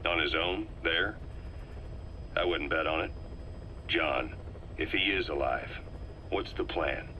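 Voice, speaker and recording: An actor playing a shrewd male intelligence operative, delivering a measured, earnest briefing in a video game tape. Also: rumbling low, sharp tone, slight background noise, full character voice, rough recording.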